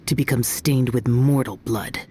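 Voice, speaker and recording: A man's voice: mid-range voice, steady tone, unhurried, mellow, a little hiss or hum, natural voice. An actor voicing an aloof young male immortal demon slayer in a video game, stern, formal and solemn. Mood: cold, solemn. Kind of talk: video game dialogue acting.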